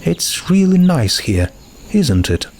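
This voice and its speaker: A man's voice: fairly high pitch, sing-song, slow, darkish tone, a little hiss or hum, pitched above the performer's own voice, full character voice. A man voicing a friendly, homesick teenage girl in a storybook, talking in a casual, chatty way. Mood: wistful, fond.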